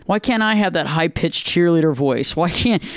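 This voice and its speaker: A man's voice: on the high side, animated, normal speed, no room sound, studio quality. A man hosting a conversation, a therapist who talks earnestly and emphatically, acting out inner voices. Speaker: podcast host and therapist. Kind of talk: casual conversation.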